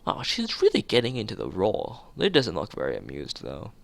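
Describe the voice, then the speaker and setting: A man's voice: on the low side, wildly swooping pitch, brisk, gravelly. A man streaming a visual novel, reading the text aloud and making casual asides.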